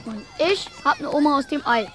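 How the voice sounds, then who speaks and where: High-voiced little schoolboy. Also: female voice, lively intonation, brisk, a bit bright. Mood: proud, secretive. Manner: childlike, plain-spoken. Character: schoolboy, protective, patient and a little cheeky. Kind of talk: radio play acting.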